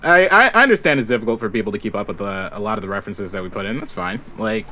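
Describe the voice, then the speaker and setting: A man's voice: lowish voice, expressive, rapid-fire, pushed and bright, slight background noise. A man chatting casually in a group Q&A, explaining things in an informal, emphatic way. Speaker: panel member, musician and lyric writer.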